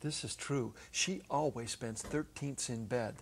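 A middle-aged man narrating a story dramatically from a script, with deliberate phrasing and pauses. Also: mid-range voice, slight background noise. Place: small indoor stage set. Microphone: clip-on lapel mic.

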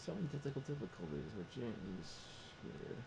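A man's voice: on the low side, sing-song, moderate pace, gravelly, noisy background. A man making casual remarks as a panelist on an online group call. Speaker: talk show panelist. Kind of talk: casual chat.

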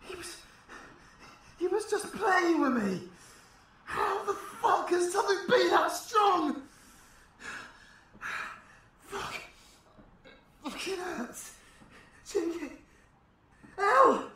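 A man's voice: very high voice, lively intonation, drawn-out words, long pauses, sharp tone, echoey room, a little hiss or hum, full character voice. A British man playing a foul-mouthed, fiercely protective fighter in an audio roleplay, blunt and emotional. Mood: pained, frightened.

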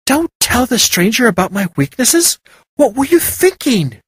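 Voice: medium pitch, big pitch swings, unhurried, breathy edge, no echo, clean studio sound, pitched above the performer's own voice, full character voice. A narrator voicing a proud female legendary snow leopard who speaks in a stern, scornful growl. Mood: suspicious.

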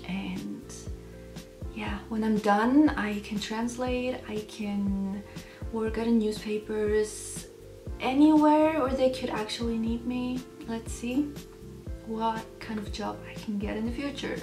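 A young woman from Switzerland chats to the camera in a casual Q&A vlog, a little self-conscious, pausing and restarting as she goes. Her voice sits fairly high. The room rings around her, the mic is set back, and soft music plays underneath. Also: steady tone, roomy sound, distant mic. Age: young adult. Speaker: channel host. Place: bedroom at home, indoors.

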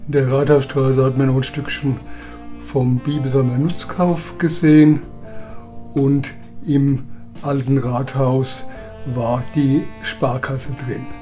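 A man's voice: mid-range voice, fairly even intonation, takes it slowly, a little mellow. A man narrating old home movies in a chatty, reminiscing voice-over. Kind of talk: casual voice-over commentary. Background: background music.

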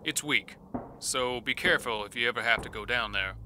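A man's voice: medium pitch, a little fast, background noise, close to an everyday voice. An actor playing a practical, cautious man taking charge in a horror audio drama. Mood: grave, practical.

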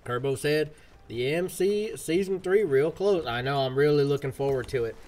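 A man's voice: a little high, normal speed, darkish tone. A man chatting casually as a live game streamer, in a folksy put-on American cowboy accent full of slang. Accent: put-on American cowboy drawl.

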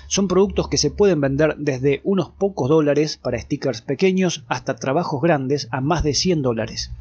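Higher-pitched man narrating an explainer. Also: a little mellow, husky, lo-fi sound. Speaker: video narrator and host.

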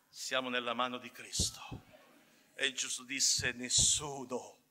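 A male evangelical preacher with a high, piercingly bright voice delivers a sermon in a reverberant hall. His delivery is emphatic and fervent, built in deliberate phrases. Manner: emphatic, swinging between measured phrases and fervent shouts. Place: church hall, indoors at a pulpit. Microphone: pulpit gooseneck microphone.

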